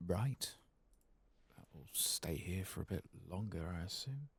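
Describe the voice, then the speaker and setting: A soft-spoken man murmuring unhurried video game commentary in an ASMR style, at times slipping into a whisper. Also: deep voice, expressive, breathy edge, no echo. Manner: murmured, unhurried, reading quest text aloud. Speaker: ASMR gaming channel host.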